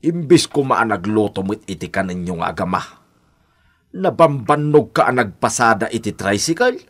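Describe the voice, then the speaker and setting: A man's voice: lowish voice, sing-song, normal speed. An actor playing a protective, indignant old grandfather in a radio drama, firm and grumbling. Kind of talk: Ilocano radio drama acting.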